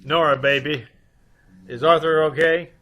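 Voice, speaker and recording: A self-assured private detective, acted by a man from an old-time radio show. The voice is bright and on the high side, with a businesslike, wry, commanding delivery. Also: fairly even intonation, measured pace. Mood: urgent.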